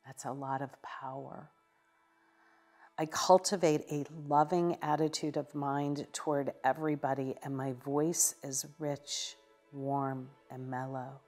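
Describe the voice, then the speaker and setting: A woman minister giving a contemplative devotional teaching in a living room, with measured delivery and occasional hesitations. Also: rumbling low, little pitch movement, darkish tone, faint music behind. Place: living room with a sofa, indoors.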